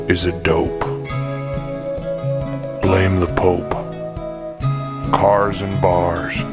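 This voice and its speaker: A man puts on a weary, bearded road comic who sits deep in his voice and drags out every word in a slow, mournful drawl. Loud music plays under him throughout this comedy radio play. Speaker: guest comedian.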